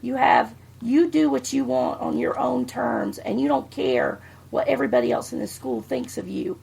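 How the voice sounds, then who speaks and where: Podcast co-host, middle-aged woman, fairly high and raspy with heavy fry, blunt. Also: lively intonation, normal speed, a little mellow, low-quality recording. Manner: blunt, swears freely. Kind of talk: casual chat.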